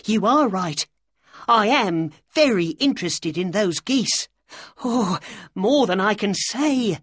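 A narrator voicing a weak, nervous little man in an audiobook story, plaintive and easily excited. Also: mid-range voice, slow, no room sound, studio quality, pitched above the performer's own voice. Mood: excited, delighted. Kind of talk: audiobook character dialogue.

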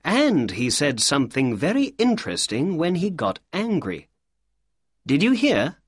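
A narrator playing a confident, shrewd male detective in an audiobook story, courteous, deliberate and assured. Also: mid-range voice, huge pitch swings, breathy edge, no room sound, clean studio sound, voice pushed up, exaggerated voice. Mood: amused. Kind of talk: audiobook character dialogue.

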